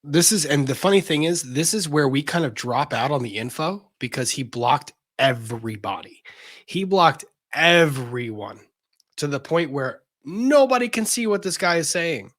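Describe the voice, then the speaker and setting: A man's voice: on the high side, sing-song, moderate pace, a bit bright. A man hosting casual legal commentary in a blunt, exasperated, sometimes profane way.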